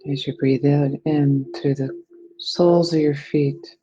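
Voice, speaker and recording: A meditation guide with a mellow, breathy voice gently leads listeners through relaxation. The phrasing is soothing and unhurried. The recording sounds slightly dull and lo-fi. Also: mid-range voice, fairly even intonation.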